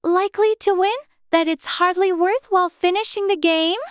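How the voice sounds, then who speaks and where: A man's voice: extremely high pitch, fairly even intonation, normal speed, fairly bright tone, no echo, studio quality, voice pushed up. A man voicing a polite, earnest and curious little girl, prim and chatty, for a dramatized audiobook. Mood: careful, polite.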